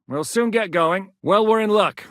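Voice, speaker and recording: Commanding medieval mercenary leader, close and dry. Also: male voice, high-pitched, dry recording. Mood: brisk, planning. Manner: authoritative, blunt, swings from measured to barking. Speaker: video game voice actor. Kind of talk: dramatic video game dialogue.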